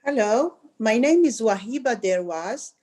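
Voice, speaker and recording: A woman's voice: fairly high pitch, lively intonation, measured pace, mellow. A woman from Algeria, a former school teacher, introducing herself in a plain, slightly hesitant way. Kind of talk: conference presentation.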